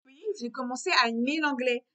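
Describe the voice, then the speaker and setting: A young woman talks through her thoughts in a relaxed vlog monologue. Her voice sits a little high and sounds bright and clear. She keeps restarting and repeating phrases as she thinks aloud. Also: brisk. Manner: repetitive, circling back on her words. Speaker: vlogger. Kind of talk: casual reflective monologue.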